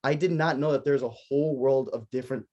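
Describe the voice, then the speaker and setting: A man's voice: medium pitch, fast talker, highs cut off. A young American man, a native Spanish speaker, hosting a casual podcast chat and telling stories with lots of fillers and acted-out reactions. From United States. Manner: animated storytelling with many fillers and acted-out reactions. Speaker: podcast host.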